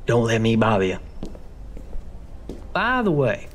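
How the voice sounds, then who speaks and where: Nosy, talkative librarian with an ordinary mid-pitched voice, played by a man, polite to a fault. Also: huge pitch swings, measured pace, a little hiss or hum. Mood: friendly nosy. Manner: chatty, overly polite. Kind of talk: horror audio drama acting.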